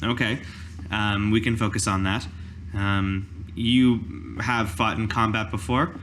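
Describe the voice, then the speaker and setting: Man as druid mentor, tabletop game, low pitch, deliberate, professorial, formal. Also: fairly even intonation, fairly bright tone, a little hiss or hum. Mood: formal, instructive. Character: formal, measured male druid master giving lessons.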